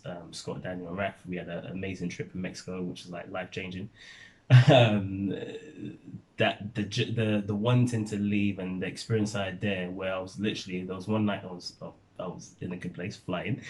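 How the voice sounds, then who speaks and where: Deep-voiced Nigerian-born man, relaxed. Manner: relaxed storytelling, laughs often. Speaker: vlogger. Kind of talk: casual chat.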